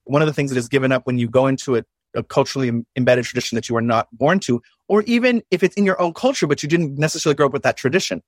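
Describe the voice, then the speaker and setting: A man's voice: mid-range voice, rapid-fire, no echo, studio quality. Reflective man asking rhetorical questions. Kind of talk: casual discussion.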